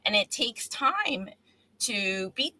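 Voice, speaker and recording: A woman's voice: a little high, big pitch swings, slow pace, sharp tone. A woman hosting a casual live-streamed interview, speaking in an encouraging, affirming manner. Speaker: talk show host.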